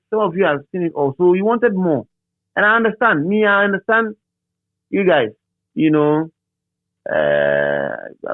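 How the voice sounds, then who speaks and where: A middle-aged man with a Ghanaian accent speaks in a slightly high voice over a narrow, phone-like line. He reminisces casually, repeating phrases to drive a point home. The sound is dry, with no room around it. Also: no room sound. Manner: repeats and restates phrases for emphasis. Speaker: interviewee, radio DJ.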